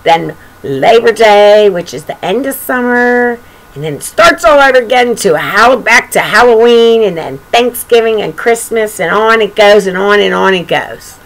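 A woman's voice: mid-range voice, expressive, normal speed, rough recording. An older woman reading a picture book aloud to young children, with chatty, playful, singsong asides. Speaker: children's storybook reader and show host. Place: bedroom at home.

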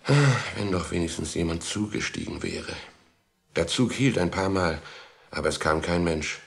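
A man with a very deep voice and a gravelly, creaking edge narrates a children's story. His delivery is expressive and suspenseful, with dramatic pauses, in the manner of a 1960s radio play on record.

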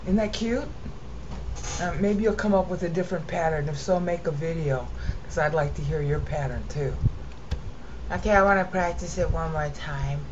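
A woman teaching informally, explaining and chanting Greek verse in a drawn-out sing-song way. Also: deep voice. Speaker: amateur Bible teacher. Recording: muffled lo-fi recording with background noise.

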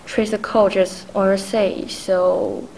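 A woman's voice: medium pitch, flat delivery, slow, gravelly, noisy background. A woman acting a polite but unbending telephone operator in a 1940s-style radio play, courteous and procedural. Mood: apologetic, firm.

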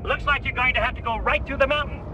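Very high-pitched game sidekick. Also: male voice, noisy background. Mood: advising. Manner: brisk, instructive. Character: brisk male mission-control sidekick, helpful and urgent. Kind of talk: video game cutscene acting. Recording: distant voice under loud music.

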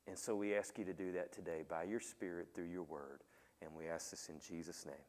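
A middle-aged male pastor with a fairly low voice, speaking on a headset mic in a church sanctuary. His words ring out with the long reverb of the hall. He chats with the congregation and asks them questions, and his prayers turn soft and hushed. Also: fairly even intonation, brisk. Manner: soft, quiet when praying. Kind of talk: sermon with opening prayer.

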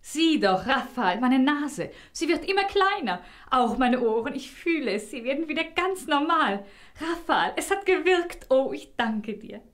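A woman's voice: high-pitched, animated, a little slow. A woman playing an emotional, grateful young princess in a fairy-tale audio drama, exclaiming breathlessly. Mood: overjoyed.

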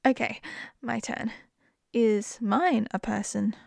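A woman's voice: fairly high pitch, steady tone, slow, creaky, darkish tone, dry recording. A woman with an Australian accent in a close-mic ASMR roleplay as a wife, playful and giggly.

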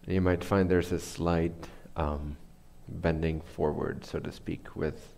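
Deep voiced guy, teaching a guided meditation, takes his time, pauses to find words. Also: flat delivery, creaky, darkish tone. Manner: unhurried, searching for words.

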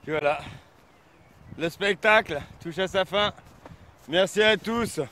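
A man narrating his own paragliding flight for a casual vlog, cheerful and relaxed, filmed outdoors on snowy slopes with an action camera on a pole. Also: high voice, slow. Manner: cheerful, relaxed, enthusiastic outbursts. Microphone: action camera on a selfie pole. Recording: faint wind noise on outdoor camera mic.

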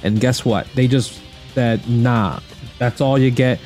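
A man's voice: medium pitch, measured pace, darkish tone, soft background music. A man, around his thirties, giving casual opinionated commentary indoors with emphatic, repetitive, slangy phrasing. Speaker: guest commentator ranking movies. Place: indoor room at home.

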